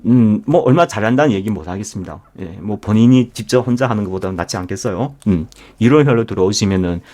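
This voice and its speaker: A man with a fairly low voice gives a live stock market lecture. He speaks as an instructor, emphatically, turning to his listeners with rhetorical questions and direct address. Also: expressive, fast talker, dark tone.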